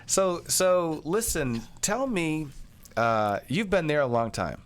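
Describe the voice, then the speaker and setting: A man's voice: a little high, lively intonation, slow pace. A man hosting a podcast, asking friendly questions with many stammered restarts. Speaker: podcast host and chef. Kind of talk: casual interview.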